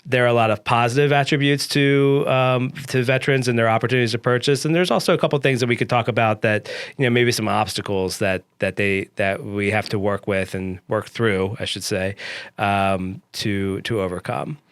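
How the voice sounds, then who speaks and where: An American man hosts a casual interview, asking his questions conversationally. His voice is smooth and clean at a medium pitch. The sound is dry and close, with little room in it. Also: steady tone, normal speed, a bit bright, dry recording. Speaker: interview host.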